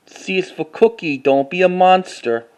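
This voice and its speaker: Guy w a pretty high voice doing a casual collection show and tell, halting, repeats himself, reads titles out. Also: a little mellow, clear, resonant tone. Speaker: collector showing finds to camera.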